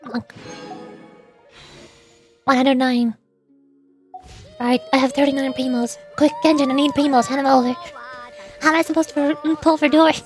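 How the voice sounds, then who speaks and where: A woman streamer chatting casually about a video game in a rambling, playfully exasperated way, often halting and repeating words. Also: high voice, fairly even intonation. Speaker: streamer, VTuber. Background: background music.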